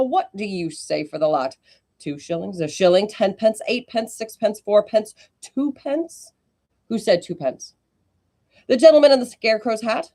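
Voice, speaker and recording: A woman voicing a brash old male street auctioneer who teases the crowd in loud, rising sales patter. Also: medium pitch, close to an everyday voice.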